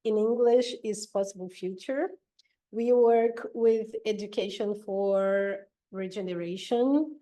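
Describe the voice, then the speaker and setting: A woman from Brazil with a Brazilian Portuguese accent, a native Portuguese speaker giving an online talk in a hesitant, slightly nervous way with many pauses and ums. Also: medium pitch, dark tone. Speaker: guest speaker. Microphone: video call.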